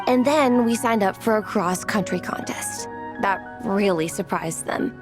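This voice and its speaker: A woman's voice: medium pitch, measured pace, over music, close to an everyday voice. A woman voicing an earnest, determined but self-doubting young artist in a video game, speaking in a hesitant, confiding way. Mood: earnest, frustrated. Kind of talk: scripted video game voice acting.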